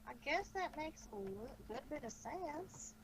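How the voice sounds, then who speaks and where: A woman's voice: high-pitched, very animated, unhurried, heavily acted. A woman voicing a wary but proud young country pony filly in a story reading. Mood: defensive. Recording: noticeable background noise.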